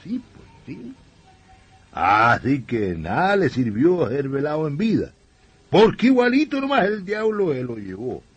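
An actor with a Chilean accent playing a folksy storyteller who narrates a radio drama colloquially. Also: male voice, mid-range voice, breathy edge, full character voice. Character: folksy male storyteller telling a devil legend.

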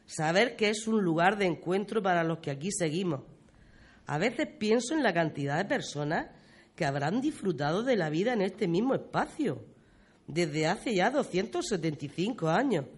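A performer playing a talkative, fond old grandmother, reminiscing in folksy, expressive style in a community radio play. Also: medium pitch, moderate pace, husky. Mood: nostalgic, fond. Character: talkative, fond old village grandmother telling local history.

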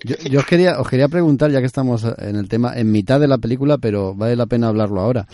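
A man hosting a conversational film podcast, articulate, laying out long questions in a measured way. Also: mid-range voice, very noisy.

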